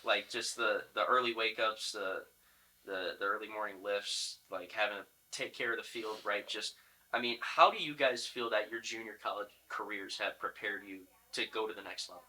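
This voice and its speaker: Very bright, forceful male podcast host. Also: lowish voice, steady tone, normal speed, rough recording. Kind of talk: casual interviewing.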